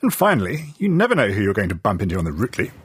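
A man presenting a scripted radio news feature, reading his narration with lively delivery. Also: fairly low pitch, racing, slightly rough, no room sound, studio quality.

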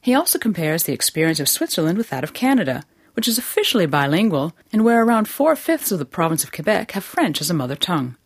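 A woman presenting a radio programme, reading a scripted introduction in a clear, measured way. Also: lowish voice, very animated, no room sound, studio quality.

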